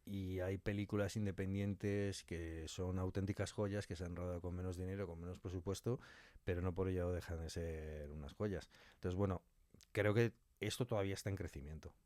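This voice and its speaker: Low-voiced Spanish man, reflective and conversational. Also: fairly even intonation, brisk, a little mellow, dry recording, clean studio sound. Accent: Spain. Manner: reflective, thinks aloud with examples and imagined quotes. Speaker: interviewed audio fiction writer and director. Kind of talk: conversational interview answers.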